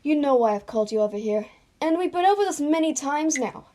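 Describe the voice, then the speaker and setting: A woman's voice: high voice, lively intonation, brisk, dark tone. A woman voicing a slightly strict but kindly female school counsellor who admonishes with exasperation in a story reading. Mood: exasperated, concerned.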